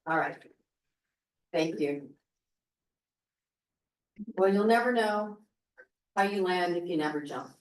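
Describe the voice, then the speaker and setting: A woman speaking at a public board meeting, reading a prepared statement in a loud, projecting voice. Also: lowish voice, animated, takes it slowly, pauses often. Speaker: public commenter. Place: community board meeting room. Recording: echoey meeting room, voice from a distance.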